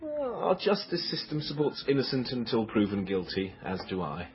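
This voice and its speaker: An actor in a radio drama playing an amiable, sensible male lawyer on a date, polite and measured in manner. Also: medium pitch, wildly swooping pitch, lo-fi sound, over music. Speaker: lawyer character. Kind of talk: naturalistic radio drama acting.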